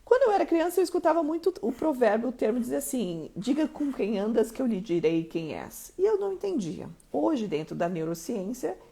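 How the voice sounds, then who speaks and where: A woman's voice: on the high side, big pitch swings, a little fast, creaky, hardly any pauses, mellow, husky. A woman from Mato Grosso do Sul with a Curitiba accent, coaching listeners on a live stream and often checking in with them. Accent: Curitiba Portuguese. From Mato Grosso do Sul, Brazil. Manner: emphatic, keeps checking in with listeners. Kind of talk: motivational live-stream teaching.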